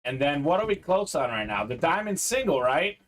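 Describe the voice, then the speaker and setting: A man's voice: fairly high pitch, very animated, fairly quick, fairly bright tone. A Bulgarian-born man hosting a live sports card break, chatting casually and reading out viewer comments. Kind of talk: casual live-stream chat.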